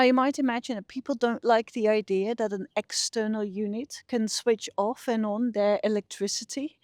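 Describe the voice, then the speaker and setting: A woman's voice: medium pitch, a little mellow, dry recording, clean studio sound. A middle-aged woman with a Dutch accent, explaining her research conversationally as an interview guest. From Netherlands. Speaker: interview guest, behavioural scientist.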